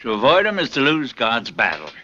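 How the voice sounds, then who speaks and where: An actor playing a sixty-year-old plantation master, pompous and cruel, with a self-satisfied drawl that can flare into furious shouting. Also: male voice, medium pitch, wildly swooping pitch, fairly quick, very noisy. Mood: smug. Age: 60s. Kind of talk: film acting. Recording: dull lo-fi film sound with music.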